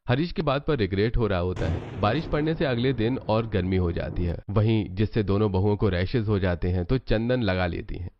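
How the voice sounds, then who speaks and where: A man's voice: fairly low pitch, brisk, few pauses, dull audio. A man reading scripted storybook narration. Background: background music.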